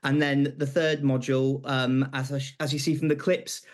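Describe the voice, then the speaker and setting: A young British man answering questions as a guest on live television, earnest and slightly halting. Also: mid-range voice, level tone. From Britain. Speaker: interview guest, pantomime graduate.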